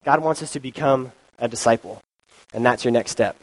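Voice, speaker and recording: A man's voice: mid-range voice, a little fast, poor audio. Young man with a cold, chatty youth sermon. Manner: informal, chatty, many ums and likes. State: has a cold, sniffly. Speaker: youth pastor. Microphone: handheld or stand mic.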